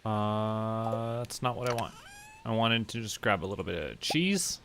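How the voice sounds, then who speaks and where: A man giving casual gameplay commentary, rambling and relaxed as he talks to himself. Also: lowish voice, a little slow, a little hiss or hum. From Canada. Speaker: streamer.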